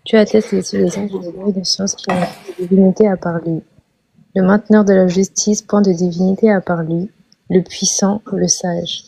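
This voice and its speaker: A woman's voice: mid-range voice, steady tone, moderate pace, creaky, dark tone, breathy edge, low-quality recording. A woman joining an online debate, answering hesitantly and joking. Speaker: debate participant.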